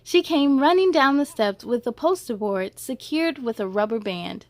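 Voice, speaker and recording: A high-pitched woman reads a children's storybook aloud in a dry, close sound. She is expressive and drops in short asides as she goes. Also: normal speed, dry recording.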